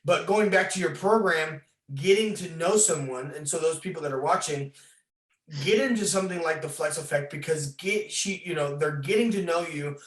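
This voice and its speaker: Higher-voiced man in an echoey room. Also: moderate pace. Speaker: podcast host, fitness coach interviewer. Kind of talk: casual conversational interview. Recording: roomy, echoing sound.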